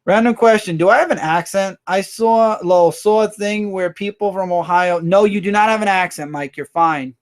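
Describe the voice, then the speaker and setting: A man hosting a casual livestream, rambling through viewer comments with quick asides. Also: high-pitched. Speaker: livestream host.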